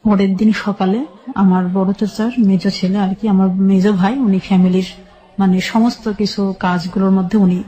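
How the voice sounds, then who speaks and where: Dark-voiced Bangladeshi woman recounting her life. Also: mid-range voice, level tone, normal speed, low-quality recording. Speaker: guest telling her life story. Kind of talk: personal storytelling in an interview.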